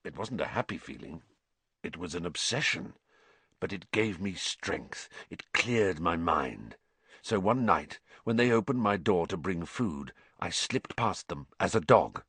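A man voicing a gaunt, bitter fugitive with a croaky, hushed voice and a grave, intense manner in a story. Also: low-pitched, sing-song, a little slow, no room sound, exaggerated voice. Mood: haunted, intense.

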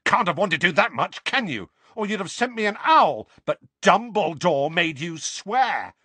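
A male narrator puts on an angry teenage boy wizard from a storybook, pitched up high. He is bitter and sarcastic, firing accusations with big, explosive swings in pitch. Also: animated, brisk, sharp tone, slightly rough, no echo, heavily acted. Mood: bitter, accusing.

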